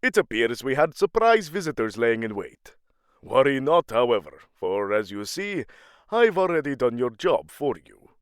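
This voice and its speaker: A man's voice: medium pitch, big pitch swings, normal speed, clean tone, no room sound, clean studio sound, natural voice. A man playing a commanding, bloodthirsty Norse god-king in a fantasy audio drama, taunting in formal, archaic phrasing.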